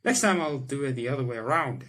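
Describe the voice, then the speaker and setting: A young man hosting a gaming video, reading the game's text aloud and chatting about it with restarts and quick laughs. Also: medium pitch, lively intonation, a bit bright. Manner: chatty, self-correcting, breaks into laughs and reactions. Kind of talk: let's-play reading game text aloud with comments.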